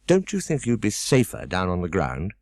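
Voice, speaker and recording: Storybook girl: polite, gentle and slightly anxious, played by a man with a somewhat raised, very dry voice. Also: sits low, huge pitch swings, moderate pace, no room sound. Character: polite, earnest young girl.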